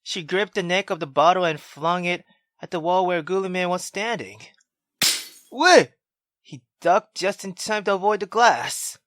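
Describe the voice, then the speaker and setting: A woman reading a visual novel aloud as a let's player, with casual, expressive, foul-mouthed reactions. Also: lowish voice, measured pace, dry recording. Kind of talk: casual reaction commentary and read-aloud narration.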